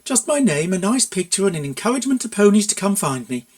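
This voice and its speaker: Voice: mid-range voice, big pitch swings, quick pace, voice pushed up. A storyteller voicing a feisty, sarcastic young cartoon pony mare who is easily exasperated, in a fan fiction reading. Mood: pleased surprise. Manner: sharp, sarcastic, emphatic.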